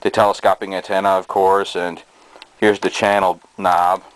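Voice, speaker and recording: A man giving a casual show-and-tell in a halting, hesitant way, recorded indoors on a handheld camera's microphone. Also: low voice, clear, resonant tone, low-quality recording. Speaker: hobbyist presenter. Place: small cluttered bedroom indoors. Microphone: handheld camera's built-in microphone. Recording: steady noise, amateur camera sound.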